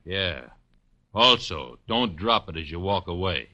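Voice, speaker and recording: A man's voice: deep voice, expressive, a little slow, pushed and bright, heavily acted. A man playing a huge, menacing bodyguard thug who talks in curt tough-guy slang, in 1940s radio drama. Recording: dull old radio recording, music underneath.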